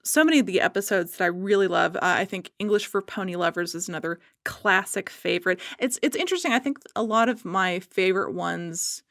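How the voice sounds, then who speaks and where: A woman's voice: medium pitch, fairly quick, creaky, few pauses, a bit bright, no room sound, studio quality. A woman appearing as a podcast guest, casually and enthusiastically discussing things.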